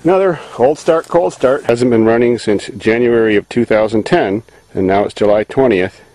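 A man's voice: mid-range voice, moderate pace, warm tone, no room sound. A man, giving casual running commentary in breezy, slangy short remarks on a handheld camera. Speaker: amateur mechanic filming his truck. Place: outdoors and inside a truck cab. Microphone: handheld camera at arm's length. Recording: noisy handheld camcorder, vehicle and outdoor noise.

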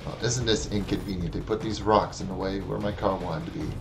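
A man's voice: deep voice, steady tone, a little fast, music in the background. A man in his thirties from Wisconsin, with a Wisconsin accent, chatting casually and wryly while streaming a game from his room on a headset mic. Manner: chatty, wry, talks back to the game. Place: small room at home.

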